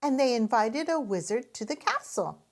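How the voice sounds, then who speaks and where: A woman voicing an excitable, imaginative little girl piglet from a storybook, eager and insistent. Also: fairly high pitch, moderate pace, gravelly, voice pushed up. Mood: excited.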